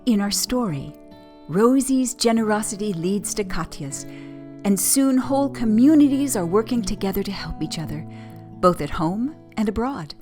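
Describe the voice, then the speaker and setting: Female church presenter, mid-pitched, earnest scripted read over plucked guitar. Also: expressive, normal speed. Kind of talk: scripted reflection on a story. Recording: guitar music behind the voice. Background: plucked guitar music.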